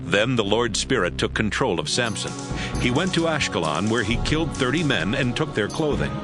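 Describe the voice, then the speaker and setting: A man's voice: mid-range voice, very animated, moderate pace, music in the background. A man giving dramatic scripted narration for a Bible audio drama, as storyteller.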